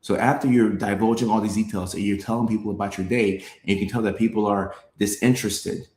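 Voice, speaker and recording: Animated man with a low voice. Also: fairly quick, echoey room. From Norway. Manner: animated, acts out quoted voices, repeats phrases, checks in with "right?". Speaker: show host. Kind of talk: casual explanatory talk, reading chat comments.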